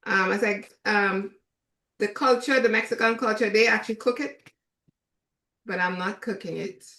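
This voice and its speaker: A Trinidadian woman chef giving a relaxed, chatty cooking demonstration, with many fillers. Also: fairly high pitch, echoey room.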